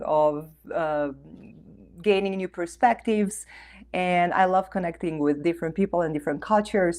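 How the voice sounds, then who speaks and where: A woman from Romania, speaking effusively and earnestly as a guest in a conversational interview. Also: on the low side. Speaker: interview guest, author and life coach.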